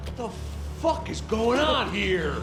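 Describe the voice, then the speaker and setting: An actor playing a brutal gang thug who interrogates a captive, shouting and swearing. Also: male voice, high voice, very animated, takes it slowly, echoey room, noisy background, full character voice. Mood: menacing.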